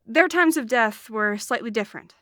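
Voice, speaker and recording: A woman's voice: fairly high pitch, lively intonation, normal speed, pushed and bright, dry recording. A woman playing a blunt, coarse-tongued young knight investigating murders in a fantasy audio drama. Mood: businesslike. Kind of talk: fantasy audio drama acting and first-person narration. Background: music.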